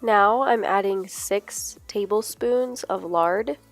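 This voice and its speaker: A woman with a cold, her voice a bit nasal, calmly talks through each step of a recipe. Her pitch is mid-range, and soft music plays faintly underneath. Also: takes it slowly. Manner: relaxed, instructional. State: sick, a little nasal. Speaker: cooking vlogger. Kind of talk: step-by-step cooking voice-over.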